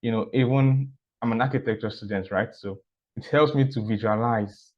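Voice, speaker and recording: A man sharing personal stories in a measured, casual way, often repeating words and asking "right?". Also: mid-range voice. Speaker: art teacher and architecture student. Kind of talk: casual talk. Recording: dull, muffled recording.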